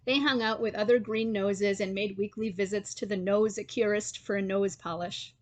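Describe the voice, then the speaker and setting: A woman reads a children's story as its narrator. Her voice sits at an average pitch and is expressive in its telling. The recording is dry, with little room sound. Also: moderate pace, dry recording.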